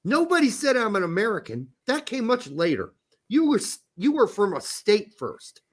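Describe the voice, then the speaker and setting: A man's voice: high voice, wildly swooping pitch, normal speed. A man co-hosting a sports podcast, ranting and swearing in a casual debate. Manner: profane, ranting, emphatic. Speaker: podcast co-host.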